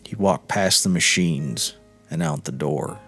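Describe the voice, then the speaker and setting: A man narrating a literary short story in a measured, expressive storytelling manner. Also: low-pitched, husky. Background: music.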